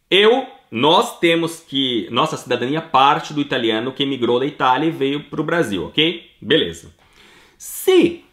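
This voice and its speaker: Lively Brazilian man, echoey room. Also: a little high. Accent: Brazilian Portuguese. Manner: emphatic, acts out listeners' questions in quotes. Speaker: citizenship consultant answering listener questions. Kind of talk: casual explanatory talk.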